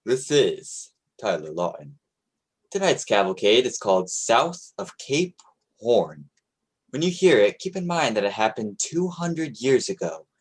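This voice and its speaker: Husky, animated man introducing a radio play. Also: medium pitch, measured pace. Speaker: lead actor introducing and narrating a radio play. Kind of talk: old-time radio drama narration.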